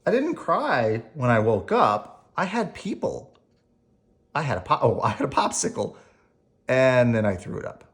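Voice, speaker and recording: A storyteller reading a children's picture book aloud in a gentle bedtime manner, adding spoken asides along the way. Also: mid-range voice, big pitch swings, unhurried. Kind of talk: expressive read-aloud with ad-libbed asides.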